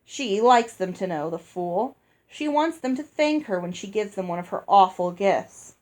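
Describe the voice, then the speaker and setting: A woman reading aloud and voicing a gruff older fairy woman who talks bluntly and scolds. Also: mid-range voice, expressive, moderate pace, a little mellow, lightly acted, rough recording. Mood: brusque. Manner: brusque, scolding. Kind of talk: read-aloud storybook dialogue.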